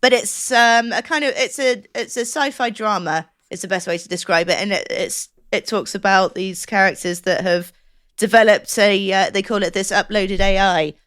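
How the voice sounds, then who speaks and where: A woman's voice: mid-range voice, steady tone, moderate pace, a bit bright, smooth, dry recording, clean studio sound. A woman chatting casually as a podcast co-host, explaining things in an enthusiastic, rambling way.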